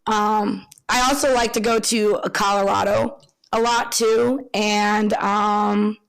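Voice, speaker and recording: A woman from Pennsylvania with a tri-state accent, talking candidly as a photographer guest in a relaxed interview, with frequent ums. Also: medium pitch, creaky, low-quality recording. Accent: tri-state (Pennsylvania/Maryland area). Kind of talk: casual interview conversation.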